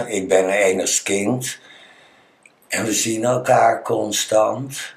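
A Dutch man talking as an interview guest, telling personal stories conversationally and halting as he searches for words. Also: lowish voice, fairly even intonation. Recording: echoey, reverberant room.

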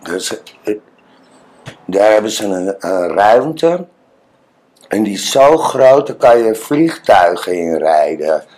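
Man, deep voice, slowish pace, halting casual talk, plain-spoken, echoey room. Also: sing-song, darkish tone. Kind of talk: casual, personal conversation.

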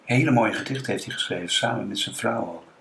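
A man's voice: fairly low pitch, fast, vocal fry, a bit bright, a little hiss or hum. A Dutch man speaking as an interviewer in a conversational way, with hesitant, reflective phrasing.

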